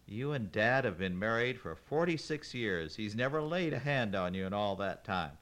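A man's voice: lowish voice, lively intonation, moderate pace, a bit bright. A man doing an exasperated farm wife. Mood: firm. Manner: exasperated, sarcastic. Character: middle-aged farm wife, exasperated and sarcastic.

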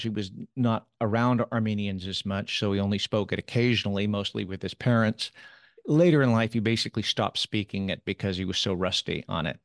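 A man answering interview questions about his late father, speaking in a measured, thoughtful way with occasional pauses. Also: lowish voice, dry recording, clean studio sound. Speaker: interview guest, son of the subject.

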